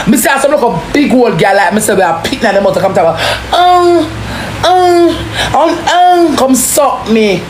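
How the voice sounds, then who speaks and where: A Jamaican woman delivers a forceful, impassioned rant in casual street talk. She curses heavily and hammers phrases by repeating them. The recording is echoey, with clear noise behind her voice. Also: a little high. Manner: forceful, heavy cursing, repeats phrases for emphasis. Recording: roomy echo, clearly noisy background.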